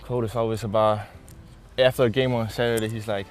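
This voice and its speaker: A man's voice: fairly low pitch, little pitch movement, brisk, soft background music. A young man, a college athlete, answering interview questions in a casual chat. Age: young adult. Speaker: college soccer player being interviewed.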